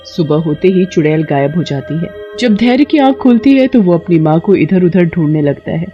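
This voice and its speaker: A woman's voice: on the low side, animated, normal speed, dull audio, faint music behind. A woman reading scripted cartoon story narration as the narrator.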